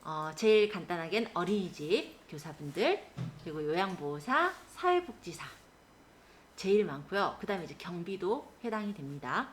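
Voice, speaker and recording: A woman's voice: on the low side, sing-song, moderate pace, slight background noise. A woman instructor giving a step-by-step spreadsheet lesson, explaining and often checking in with short tag questions. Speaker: computer skills instructor.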